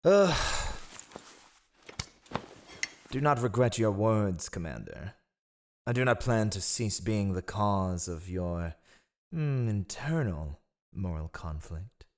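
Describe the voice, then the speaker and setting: Guy playing a scheming spy master in a fantasy roleplay, snide and theatrical, kinda low, swoops around a lot. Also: very animated, takes it slowly, a little mellow, full character voice. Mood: grudging disdain. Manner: sardonic, theatrical, sighing disdain. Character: scheming, haughty male spy master and royal advisor. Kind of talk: fantasy audio roleplay acting.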